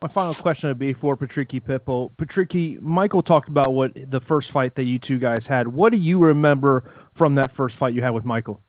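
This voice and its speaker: A man's voice: mid-range voice, a little fast, hardly any pauses, dry recording. A male sports journalist putting prepared questions to athletes on a media conference call. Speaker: MMA journalist. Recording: dry, narrow tinny phone line.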